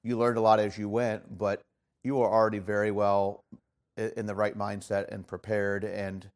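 Brief: male interviewer, a bit low, halting, supportive, restarts a lot. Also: level tone. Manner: agreeable, frequent backchannels, halting restarts. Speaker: interviewer, former college teacher. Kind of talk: conversational interview.

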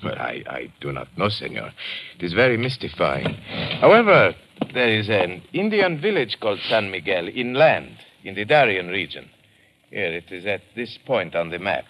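A man's voice: sits low, sing-song, normal speed, a bit bright, a little hiss or hum, heavily acted. A man playing a courteous, cautious Panamanian police lieutenant with a Latin American Spanish accent, formal and hesitant, in old radio drama style. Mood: regretful, puzzled. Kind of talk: 1940s radio drama acting.

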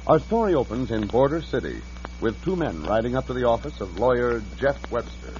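A man's voice: mid-range voice, sing-song, brisk, slight background noise, natural voice. A man narrating an old-time western radio drama in a declamatory storytelling style. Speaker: announcer. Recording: dull old radio recording with loud music.

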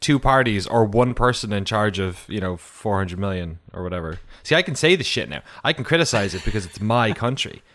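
A man's voice: lowish voice, lively intonation, brisk, few pauses, a bit bright. A man, co-hosting a podcast in casual chat, joking and laughing often. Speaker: podcast co-host.

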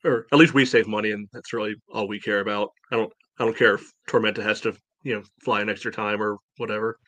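A man's voice: fairly low pitch, steady tone, quick pace, fairly bright tone, no echo. A man co-hosting a casual sports discussion, often padding his points with "you know". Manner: peppers speech with "you know". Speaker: podcast co-host.

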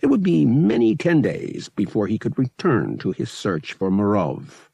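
Male narrator for sci-fi audiobook: lowish, gravelly voice, expressive storytelling delivery, dry close sound. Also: unhurried, dry recording. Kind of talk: scripted dramatic narration of science fiction.

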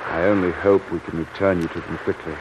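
An actor playing a genial, frank British submarine commander with hearty officer's slang in an old-time radio drama. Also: male voice, deep voice, little pitch movement, fast, lightly acted. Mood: frank, confiding. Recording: muffled, noisy old radio recording.

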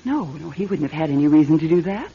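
An actress playing a gentle, anxious, polite wife in a radio drama, speaking in a conciliatory, hesitant way. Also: female voice, sits low, animated, quick pace, a little hiss or hum. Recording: dull lo-fi radio recording.

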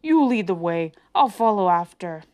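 A woman voicing a big, gullible storybook monster, speaking in a slow, emphatic, jovial manner. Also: lowish voice, big pitch swings, darkish tone. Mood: amused. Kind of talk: children's storybook character voice.

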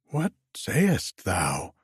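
A man's voice: low voice, huge pitch swings, very slow, husky, no echo, studio quality, full character voice. A man voicing a proud, teasing young maiden in a storybook romance, with theatrical, questioning, archaic speech. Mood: indignant.

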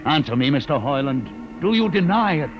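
An actor playing a calm, condescending older man who holds a prisoner aboard a starship, speaking in a measured, commanding way in an old radio drama. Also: fairly high pitch, over music. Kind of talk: 1950s radio drama acting. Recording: vintage radio broadcast with hiss.

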